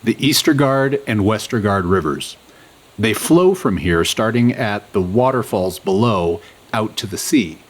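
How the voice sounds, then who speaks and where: A man playing an earnest, knowledgeable adventurer who guides his companions in a fantasy audio drama, expressive and explanatory. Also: on the low side, unhurried. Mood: earnest, informative.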